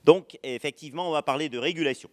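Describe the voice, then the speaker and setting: A man giving a conference lecture in a language that is not his first, pausing often with fillers and restarting sentences. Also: on the high side, a bit bright. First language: not French. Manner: hesitant, many "euh" fillers and restarts. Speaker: university lecturer. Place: lecture hall.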